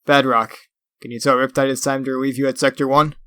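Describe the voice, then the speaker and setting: A man playing a firm, watchful superhero team commander in a comic dub, speaking with paternal authority in a smooth voice. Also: medium pitch, flat delivery, quick pace, bright, forceful tone, dry recording, close to an everyday voice. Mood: businesslike.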